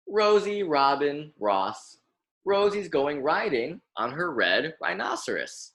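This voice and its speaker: A man's voice: mid-range voice, fairly bright tone. A young American man reading a picture book aloud as a lesson for children, repeating and emphasising words in an instructive way. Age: young adult.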